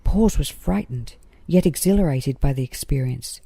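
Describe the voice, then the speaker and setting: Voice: medium pitch, animated, normal speed, creaky, dark tone, breathy edge, dry recording. A narrator reading a children's story aloud from a script.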